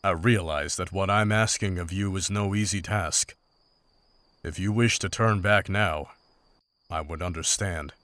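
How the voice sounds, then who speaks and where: A man playing a stern, pragmatic older monster hunter in a fantasy visual novel, speaking in a formal, measured, unsentimental way. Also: low-pitched, fairly bright tone, no room sound. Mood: grave.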